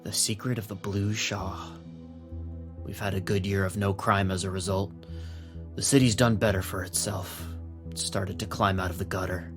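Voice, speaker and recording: A low, gruff police captain, acted by a man, shrewd and streetwise. He talks plainly and with command, in a noir audio drama over faint music. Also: level tone, normal speed, natural voice. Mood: confiding, knowing.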